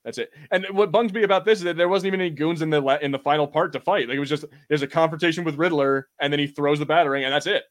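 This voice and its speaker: Man, podcast host, rapid speech, swears casually. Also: on the high side. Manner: fast, animated, swears casually. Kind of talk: casual film-watching podcast chat.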